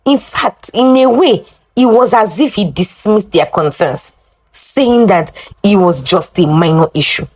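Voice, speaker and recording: A woman telling a folktale in a dramatic, deliberate, emotive way with drawn-out pauses. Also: medium pitch, expressive, a little mellow, rough recording. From Nigeria. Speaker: storyteller. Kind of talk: dramatic oral folktale narration.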